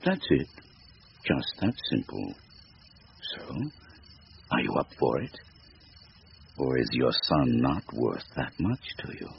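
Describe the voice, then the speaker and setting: An actor playing a sinister supernatural bargainer in a radio drama, gravelly, with a deliberate, formal, taunting delivery. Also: male voice, very deep voice, big pitch swings, full character voice. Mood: commanding, taunting. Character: sinister supernatural stranger, silky, devilish bargainer, bored and mocking. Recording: noisy, muffled radio drama recording.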